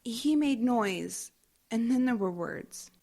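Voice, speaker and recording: Casting: a woman playing an upset young newcomer, high voice, halting and earnest, natural audio drama delivery. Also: expressive, darkish tone, full character voice. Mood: anxious, insistent.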